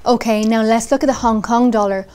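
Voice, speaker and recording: A woman journalist putting scripted interview questions in a brisk, formal broadcast delivery. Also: fairly high pitch, steady tone, darkish tone, clear, resonant tone.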